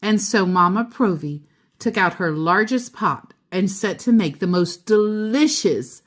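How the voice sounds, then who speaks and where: A woman storyteller reading a children's picture book aloud, deliberately and with expressive emphasis on key words. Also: medium pitch.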